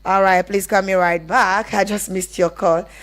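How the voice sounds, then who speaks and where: A woman's voice: mid-range voice, fairly even intonation, moderate pace. A woman with a Nigerian accent hosting a live radio phone-in, reading messages and talking with callers. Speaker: radio call-in show host.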